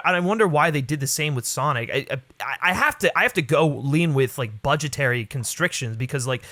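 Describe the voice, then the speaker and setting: A man's voice: a little high, fairly bright tone, dry recording. A man as a podcast guest, giving casual film opinions with lots of hedging and "like". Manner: hedging, filler-heavy talk with frequent "like".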